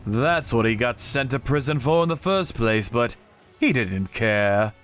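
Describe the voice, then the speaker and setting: A man reading scripted dramatic narration in a grave, measured way. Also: medium pitch, lively intonation, a bit bright. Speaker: narrator of a manga story, telling it in first person as a vigilante character. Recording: music behind the voice.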